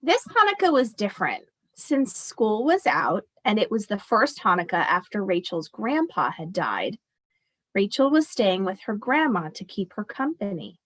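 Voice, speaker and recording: A woman's voice: medium pitch, very animated, moderate pace, low-quality recording. A woman teacher reading a picture book aloud expressively and explaining it to children as she goes.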